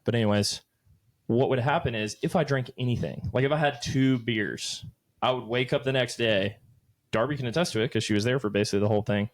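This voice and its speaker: A man's voice: mid-range voice, fairly quick. A man in his late twenties, co-hosting a podcast, telling rambling stories in loose, profane casual chat. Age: late 20s. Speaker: podcast co-host.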